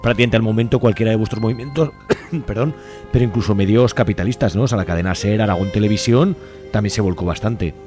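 A man's voice: fairly low pitch, fast, darkish tone, soft background music. A man hosting a radio interview, asking long conversational questions. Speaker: radio host.

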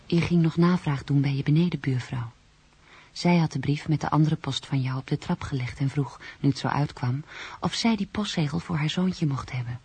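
A woman playing a hushed, watchful storyteller in a radio drama, narrating with a breathy, half-whispered voice. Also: sits low, moderate pace, no echo, exaggerated voice. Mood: hushed, measured. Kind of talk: scripted second-person radio drama narration.

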